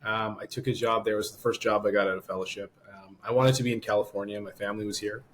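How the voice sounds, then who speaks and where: A man's voice: on the low side, flat delivery, fast, fairly bright tone, slight background noise. A man from Canada, a surgeon and podcast guest, chatting casually over a video call with lots of ums and laughs. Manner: laughs often, hesitant with many ums.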